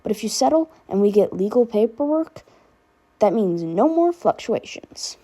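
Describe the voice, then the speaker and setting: Woman doing teen boy big brother in a fic reading, protective n rambly, very mellow husky voice. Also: mid-range voice, very animated, normal speed. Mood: reassuring, excited. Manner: casual, rambling teen talk with filler words. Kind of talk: fan fiction audiobook character dialogue.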